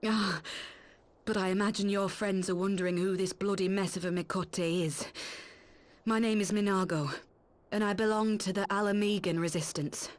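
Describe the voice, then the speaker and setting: A woman's voice: medium pitch, fairly even intonation, normal speed, natural voice. A woman playing a brave, wounded cat-woman resistance fighter in a video game, earnest and grave. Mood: weary, earnest. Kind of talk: video game cutscene acting.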